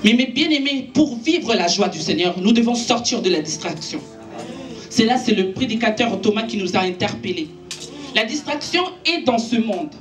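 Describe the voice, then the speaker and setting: A woman's voice: on the high side, lively intonation, normal speed, recorded from a distance, soft background music. A woman preaching a sermon to her church, an Italian native speaker, earnest and exhorting, lifting her voice when she preaches. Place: church gathering in a makeshift space.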